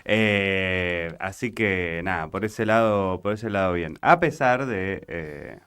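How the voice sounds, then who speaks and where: Argentine guy cohosting radio, super low and deep, really clean resonant voice, kinda stumbles and repeats himself. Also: a bit bright, smooth. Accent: Argentine Spanish. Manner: halting, repeats words, trails off. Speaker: radio co-host. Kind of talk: casual chat. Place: radio studio.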